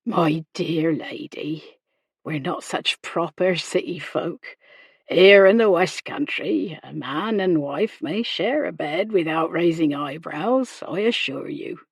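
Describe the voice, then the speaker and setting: A woman voicing a kindly, proper male country doctor, gentle and hesitant, in a period romance audiobook. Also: on the low side, animated, slow, no room sound, heavily acted. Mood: gently reassuring.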